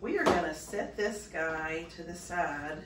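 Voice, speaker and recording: Middle-aged lady walking thru a recipe, kinda unsure of herself, low-ish voice, roomy noisy kitchen mic far away. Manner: unhurried, self-doubting asides, lively pitch. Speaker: home cooking vlogger. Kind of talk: casual cooking demonstration. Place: home kitchen, indoors. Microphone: far camera. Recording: echoey kitchen, camera far off, background noise.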